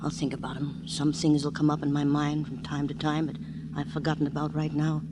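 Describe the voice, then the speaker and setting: Over clear background noise on an old radio recording comes a hard older mother from a gangster story, played by a woman. She is unsentimental and blunt, with clipped street talk and a lowish voice for drama of the era. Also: little pitch movement, a little fast, close to an everyday voice. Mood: stoic, bitter. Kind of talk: 1950s radio crime acting. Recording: noisy old radio, vehicle rumble.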